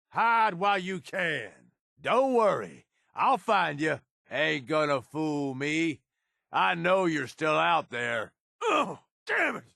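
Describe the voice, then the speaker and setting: An actor playing a tough, cynical middle-aged raider henchman in a video game, with a gravelly voice and rough, folksy, sardonic slang. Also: on the high side, bright, forceful tone, dry recording, studio quality, exaggerated voice. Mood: taunting, aggressive, then pained, straining. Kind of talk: video game character voice lines.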